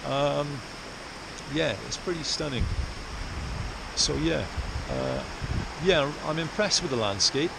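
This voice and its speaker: A man from South Wales, chatting casually and haltingly to camera as he explores outdoors. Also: on the high side, sing-song, vocal fry. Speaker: vlogger, artist explorer. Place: outdoors in rainy, windy hills. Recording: loud wind and outdoor noise.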